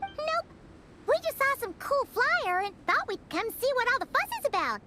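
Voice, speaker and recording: A woman's voice: extremely high pitch, big pitch swings, moderate pace, very noisy. A woman voicing a tiny, eager floating sprite companion who talks about herself in the third person, acted for a game. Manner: eager, refers to herself by name. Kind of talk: game voice acting.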